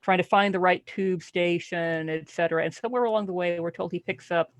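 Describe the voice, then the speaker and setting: A woman's voice: lowish voice, steady tone, fairly quick, no echo. A woman on a discussion panel casually talking about a book, dry and wry, feeling her way through phrases. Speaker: podcast panelist.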